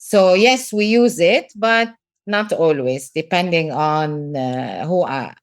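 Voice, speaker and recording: A woman's voice: deep voice, animated, takes it slowly. A middle-aged woman from Lebanon with a Lebanese accent, a business coach talking earnestly in a podcast interview and repeating words for emphasis.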